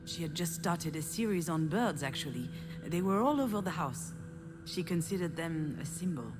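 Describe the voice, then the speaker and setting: A woman's voice: deep voice, expressive, moderate pace, music in the background. An actress voicing a reflective, wistful woman who recalls her childhood in a conversational way, in a visual novel game. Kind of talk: visual novel voice acting, reminiscing narration.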